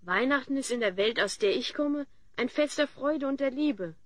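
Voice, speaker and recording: An actor playing a calm, earnest young male hero from another world, patient and instructive, in a fantasy audio drama. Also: high-pitched, normal speed, no echo.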